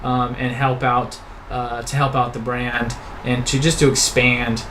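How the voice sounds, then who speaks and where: A man in his thirties hosts a relaxed conversation, drifting from point to point and tossing in teasing remarks. His voice sits at an ordinary, middling pitch. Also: measured pace, a little hiss or hum, rough recording. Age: 30s. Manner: loose and rambling, with teasing asides. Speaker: host. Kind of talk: casual chat.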